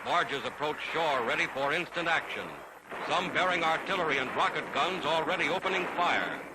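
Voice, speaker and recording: A man's voice: a little high, moderate pace, very noisy. A man narrating a 1940s newsreel in a dramatic, solemn, declamatory manner, on an archival soundtrack. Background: loud orchestral music.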